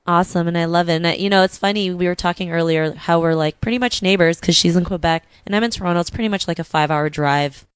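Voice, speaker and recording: A woman's voice: fairly low pitch, quick pace, few pauses, clear, resonant tone, no echo. A woman hosting a podcast interview, chatting casually and often saying "you know" between thoughts. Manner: friendly, leans on "you know" fillers. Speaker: podcast host.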